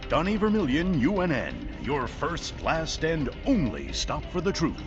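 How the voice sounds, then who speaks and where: A man's voice: on the high side, huge pitch swings, unhurried, lo-fi sound, over music. A man playing a pompous American television news anchor in a video game, theatrical and self-important. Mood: bombastic. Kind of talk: parody newscast in a video game.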